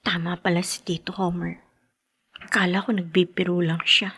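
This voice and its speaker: An actress playing a grieving, resentful daughter in a radio drama, tearful and pleading, with a Filipino accent. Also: female voice, lowish voice, flat delivery, moderate pace, a bit bright, husky, no echo. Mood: hurt, sullen. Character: grieving, resentful daughter hurt by father's remarriage.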